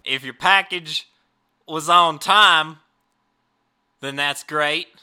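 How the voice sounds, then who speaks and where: A man playing a dim rural customer service rep on the phone, speaking in a put-on Southern drawl with a slow, stammering, folksy manner. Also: on the high side, bright, forceful tone, smooth, full character voice.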